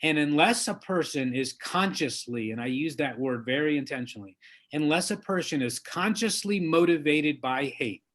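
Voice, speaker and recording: A man talks in a conversational interview, earnest and measured, with firm emphasis when he makes a point. His voice sits fairly high for a man. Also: fairly bright tone. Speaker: author, lawyer and diversity trainer.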